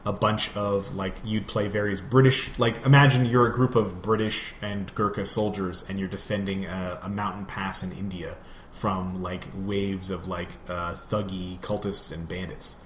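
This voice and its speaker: Male podcast co-host, deep-ish voice, loose rambling gamer chat, roomy sound with background noise. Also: steady tone, normal speed. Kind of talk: casual gaming chat.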